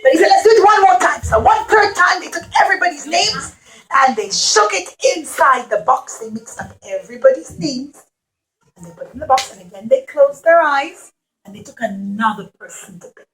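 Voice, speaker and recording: A woman telling a Bible story to young children in an expressive, teacherly way, with simple repeated phrases and questions. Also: high voice, normal speed, poor audio.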